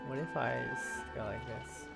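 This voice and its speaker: A man streams a video game with casual commentary in a mid-pitched voice. Game music plays loudly behind him throughout. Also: normal speed, creaky.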